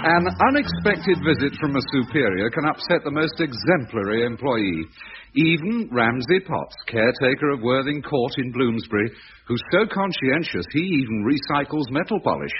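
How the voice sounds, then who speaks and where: A man's voice: medium pitch, lively intonation, moderate pace, slight background noise. A man announcing and narrating the scripted introduction to a radio comedy. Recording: dull old radio recording, loud music. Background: loud music.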